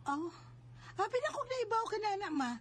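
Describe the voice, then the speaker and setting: A woman's voice: very high-pitched, big pitch swings, moderate pace, a little hiss or hum. A woman with a Cebuano Filipino accent playing a protective, scolding married older sister in a radio drama. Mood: gossipy, concerned. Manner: nagging, emphatic. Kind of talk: Bisaya radio drama acting.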